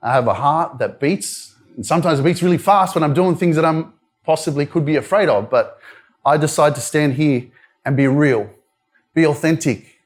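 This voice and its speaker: A man's voice: fairly high pitch, moderate pace. A man with an Australian accent giving a casual, direct motivational talk at a live indoor event, chatting with the crowd and swearing now and then. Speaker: motivational speaker.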